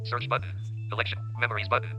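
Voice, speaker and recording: A man's voice: medium pitch, fast, faint music behind. A male synthetic screen reader voice that reads out phone interface items in short, clipped bursts. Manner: clipped, flat interface announcements.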